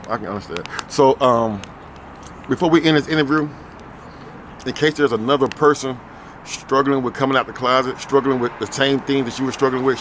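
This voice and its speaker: A man's voice: mid-range voice, fairly bright tone. Give me a casual male street interviewer who stammers a little, with faint traffic behind. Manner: casual, repeats answers back, stammers on restarts. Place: city street outdoors.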